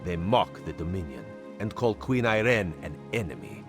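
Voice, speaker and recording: A sly cat-folk agent for a fantasy video game. The voice sits deep and moves with lively swings, genial and theatrical, loyal to his queen. Soft music plays behind him. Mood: genial, intrigued. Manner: speaks of himself in third person, measured and theatrical. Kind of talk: video game character dialogue.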